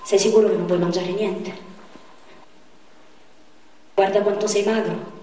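A woman's voice: mid-range voice, steady tone, a little slow, mellow, recorded from a distance, a little hiss or hum, close to an everyday voice. A woman playing a caring companion who coaxes a friend to eat and teases him with a run of jokes, in a stage drama played on radio. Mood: tender. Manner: coaxing, then rattling off jokes. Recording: very echoey stage heard from afar.

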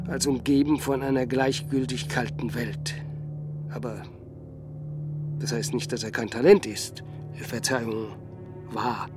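An actor playing a renowned, aloof scientist in a radio drama, speaking formally and hesitantly, with condescension. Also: male voice, mid-range voice, natural voice. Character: aloof, renowned male scientist, coolly dismissive. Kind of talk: German radio drama dialogue. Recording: music playing under the voice. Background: music.

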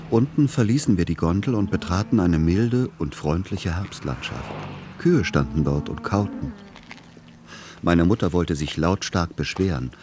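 Deep male voice, long-suffering son, dry literary radio play narrator, restrained, audible ambient noise. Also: a little mellow.

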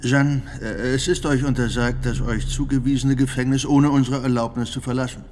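An actor in a radio drama playing a stern church judge who interrogates a prisoner in a formal, insistent, authoritative way. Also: male voice, mid-range voice, steady tone, normal speed, a little mellow, close to an everyday voice. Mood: stern, official.

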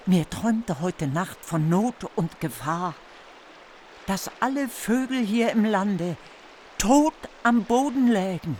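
A woman's voice: mid-range voice, animated, a little mellow, a little hiss or hum. An actress playing an anxious noble mother queen in a German radio play, with a foreboding manner. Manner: slow, foreboding.

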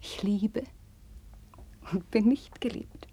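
Slow, husky, passionate young princess. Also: female voice, medium pitch, whispered. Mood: impassioned confession. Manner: theatrical, intense, swinging between hushed and impassioned delivery. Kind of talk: 1950s German radio play, classical verse acting. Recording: dry 1959 radio studio recording.

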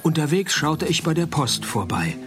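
Deadpan, higher-voiced private detective. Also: male voice, normal speed, over music. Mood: dry narrating. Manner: deadpan, sarcastic, laconic. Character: deadpan, wisecracking male private detective narrator. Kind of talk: hard-boiled radio play narration and dialogue.

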